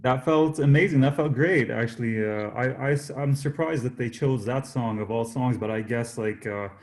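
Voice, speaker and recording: A man, a singer-songwriter guest, chatting casually in an interview in a hesitant, halting way that often repeats words. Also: mid-range voice, darkish tone. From Jordanian-born, Canada.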